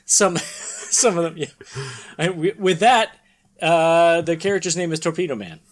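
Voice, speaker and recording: A man hosting a podcast with casual banter, speaking in a halting, rambling way. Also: on the high side, lively intonation. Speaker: podcast host. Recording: background noise.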